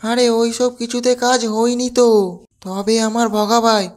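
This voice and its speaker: A woman's voice: on the high side, fairly even intonation, moderate pace, a little mellow, close to an everyday voice. A woman voicing a gullible, hard-drinking husband in a cartoon comedy. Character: hapless husband, tipsy and gullible. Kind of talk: cartoon voice acting.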